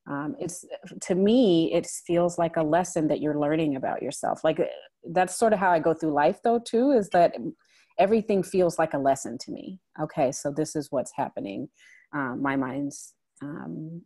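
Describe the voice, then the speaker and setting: A woman with a notably low voice co-hosts a relaxed online discussion over a video call. She thinks aloud with frequent "um"s and restarts. Her tone is reflective and unhurried. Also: breathy edge. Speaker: co-host of a discussion salon. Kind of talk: casual group discussion.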